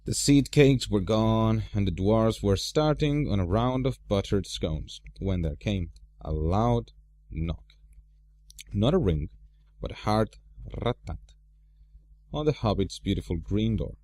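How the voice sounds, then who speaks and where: A man narrating a storybook as an amateur reader, with an expressive, theatrical delivery. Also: on the low side, takes it slowly, dry recording.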